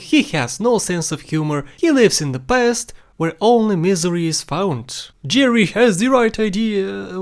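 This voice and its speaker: Video game countdown narrator, medium pitch, scripted commentary.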